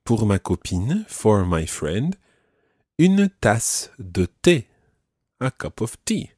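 A man teaching French, patiently explaining and repeating phrases slowly. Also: on the low side, a little mellow, slightly rough, no room sound, clean studio sound. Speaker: language teacher.

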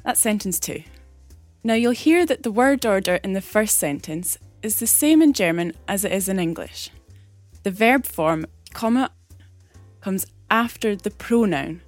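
A woman explaining a grammar rule in a clear, patient teaching manner, as a recorded segment. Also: mid-range voice, animated, measured pace, dry recording, soft background music. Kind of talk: scripted explanation. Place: recorded separately from the studio.

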